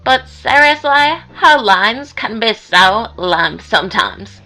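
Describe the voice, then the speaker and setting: A woman's voice: medium pitch, expressive, takes it slowly, bright, forceful tone, soft background music, heavily acted, poor audio. A woman voicing a slangy, exasperated young pony actress in a scripted comic-dub interview.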